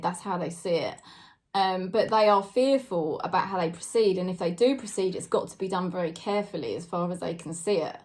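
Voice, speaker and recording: Chatty British woman in her forties. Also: medium pitch. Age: 40s. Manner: chatty and hesitant, with many fillers and frequent soft laughs. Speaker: tarot reader. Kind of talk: casual spoken tarot reading to camera.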